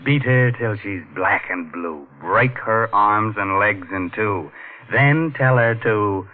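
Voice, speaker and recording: A man's voice: lowish voice, takes it slowly, phone-quality sound. A man hosting a 1940s radio horror show in a tongue-in-cheek, mock-sinister drawl. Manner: mock-sinister, drawling, chuckling between macabre jokes.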